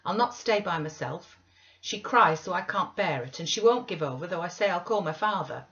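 A woman's voice: lowish voice, animated, normal speed, fairly bright tone, pitched above the performer's own voice. A sickly, spoilt teenage boy played by a woman reading aloud whines petulantly in a storybook reading. The sound is a little dull. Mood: cross, whining. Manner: petulant, whining. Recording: slightly dull webcam audio.

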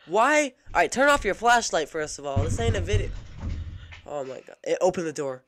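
A young adult man doing casual reaction commentary in an excitable, slangy way, full of exclamations. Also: sits high, brisk, a little hiss or hum. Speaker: reaction video host.

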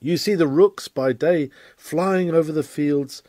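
A man reading a scripted sermon aloud in a formal, measured, oratorical manner. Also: a little high, mellow, no room sound, studio quality. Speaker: reader of a sermon.

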